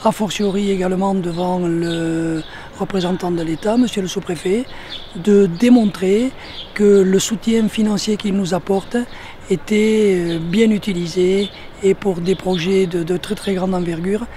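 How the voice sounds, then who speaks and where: A man with a high, soft-edged voice gives interview remarks as a local official. He speaks formally and in a measured way, with slight noise behind him. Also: steady tone.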